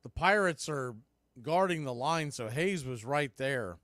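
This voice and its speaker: A man doing radio baseball play-by-play, making steady calls mixed with conversational analysis. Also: mid-range voice, measured pace, no echo, clean studio sound. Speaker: play-by-play announcer.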